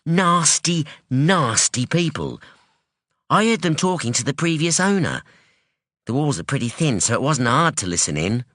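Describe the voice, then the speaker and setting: Male narrator voicing a defiant young street-tough woman, blunt, challenging, bright, animated. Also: on the high side, normal speed, no echo, clean studio sound, voice pushed up. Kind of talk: audiobook dialogue.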